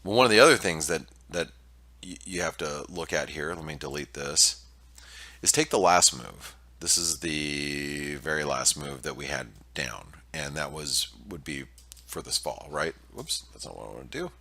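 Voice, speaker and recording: Deep-voiced male market analyst, nasal with allergies, bright edge, loose and halting delivery. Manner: hesitant, many fillers and restarts. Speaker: market analyst presenting weekly preview. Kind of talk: casual screen-share market commentary.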